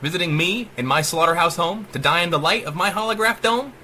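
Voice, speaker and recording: AI villainess, acted out by a male reader: cruel, mocking, theatrical, bright and lively, horror story reading. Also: high-pitched, fairly quick, slight background noise, voice pushed up, heavily acted. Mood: taunting. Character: sadistic, taunting female AI, self-styled goddess. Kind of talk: dramatic horror audiobook reading.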